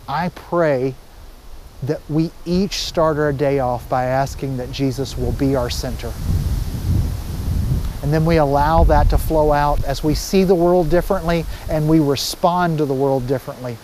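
A man's voice: a little high, warm tone, low-quality recording. A middle-aged man, a pastor, giving an informal, conversational sermon outdoors on a lapel mic. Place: outdoors, under trees by water. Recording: faint outdoor background noise.

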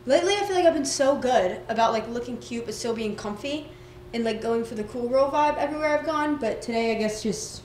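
A woman's voice: fairly high pitch, animated, brisk, a little mellow, a little hiss or hum. A woman in her twenties chatting casually on a handheld phone vlog, upbeat and full of "like" and "literally". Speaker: vlogger. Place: large warehouse store, indoors. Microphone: phone camera held at arm's length.

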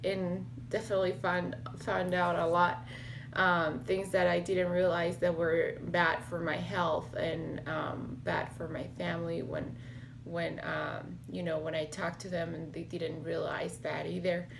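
A woman in her thirties talking to the camera in a casual home vlog, slightly hoarse and raspy, with many fillers and restarts. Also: lowish voice, level tone, a little slow, noisy background. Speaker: health vlogger. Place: small home office with bookshelves, indoors. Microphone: webcam or laptop camera at desk.

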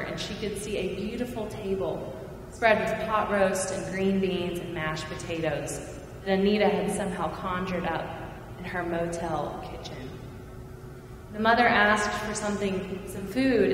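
A middle-aged woman preaching a sermon from a church pulpit, earnest and measured, her phrases falling at the ends. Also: medium pitch, a little hiss or hum. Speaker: pastor. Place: large church sanctuary. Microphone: pulpit mic, far camera. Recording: echoey church from far off.

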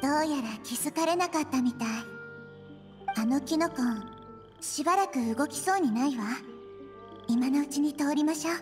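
A woman's voice: high-pitched, moderate pace, pauses often, music in the background, lightly acted. A woman voicing a small, wise, childlike goddess in an anime-style video game, thoughtful and composed. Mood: careful, musing.

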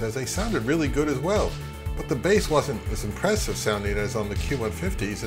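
Man reviewing gear, music behind. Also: mid-range voice. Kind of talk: conversational product review narration.

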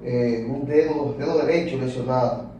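A man with a Dominican accent, hosting a casual sports talk show, who speaks in emphatic, drawn-out phrases. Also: mid-range voice, dark tone, dull audio, slight background noise. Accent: Dominican Spanish. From Dominican Republic. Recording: echoey room picked up from a distance.

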